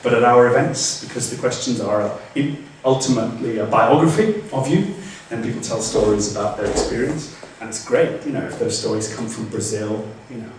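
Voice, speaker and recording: A man's voice: medium pitch, moderate pace, few pauses. A male guest speaker fields questions from the audience, stopping and restarting his sentences often. He is picked up from a distance in a very echoey lecture room. A faint background hum runs under his voice. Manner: hesitant, many restarts and fillers. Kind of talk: Q&A after a lecture.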